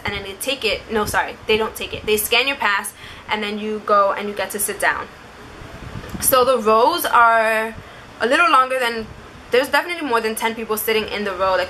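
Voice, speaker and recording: Bright, chatty young woman. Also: mid-range voice, a little hiss or hum, low-quality recording. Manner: chatty, informal, uses "like" constantly. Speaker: vlogger. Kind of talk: casual storytelling with advice to camera.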